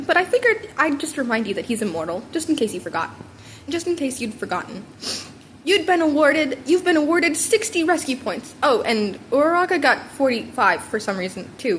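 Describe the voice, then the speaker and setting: Woman as a hearty, blustering superhero mentor, animated. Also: sits high, a little hiss or hum. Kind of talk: amateur fan fiction audiobook character.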